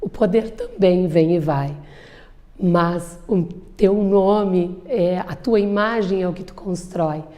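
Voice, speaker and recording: A woman's voice: fairly low pitch, slow pace, dark tone. A woman, a lawyer speaking earnestly in an interview. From southern Brazil. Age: 50s. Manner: earnest, emphatic. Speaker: lawyer and businesswoman. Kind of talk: interview answer.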